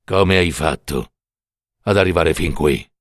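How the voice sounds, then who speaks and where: A man voicing Death as a solemn, cold, stern gardener of souls in a storybook reading, grave and measured. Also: very deep voice, no echo, studio quality, pitched below the performer's own voice. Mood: stern, surprised.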